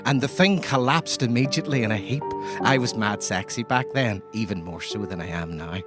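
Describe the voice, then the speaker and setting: A man's voice: lowish voice, brisk, natural voice. A man playing a boastful, rambling Irish pub keeper, narrating comic tales in a wry, colloquial way over music. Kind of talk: comic first-person storytelling narration.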